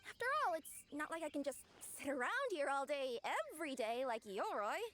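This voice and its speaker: A woman playing a young, earnest cat yokai delivery girl in an anime video game, expressive and pleading. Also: high-pitched, brisk. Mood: pouty, insistent. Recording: music behind the voice.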